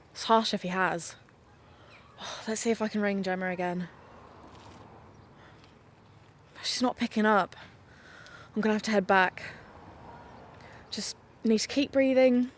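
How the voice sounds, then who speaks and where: A woman's voice: mid-range voice, slight background noise, lightly acted. A woman playing a chatty, teasing young podcaster who investigates crimes in an audio drama. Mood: uneasy.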